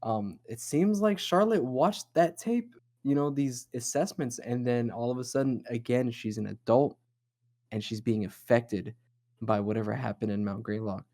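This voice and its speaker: A man co-hosting a casual horror chat show, with a dark, relaxed voice at an everyday pitch. He theorizes in a rambling, loose way and swears freely. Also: normal speed. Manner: rambling, profane, speculative. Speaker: host of a horror discussion show. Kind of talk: casual chatty analysis of horror lore.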